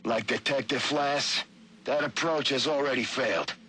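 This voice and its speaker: An actor playing a controlled crime boss in a video game, giving orders with measured authority. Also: male voice, medium pitch, sharp tone, a little hiss or hum, lightly acted. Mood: cold, commanding. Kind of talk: video game voice acting, audio log.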